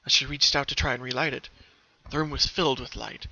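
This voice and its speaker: A man's voice: medium pitch, steady tone, fast, bright, forceful tone. A man reading a story aloud as a dramatic, scripted narration, on a slightly lo-fi home recording.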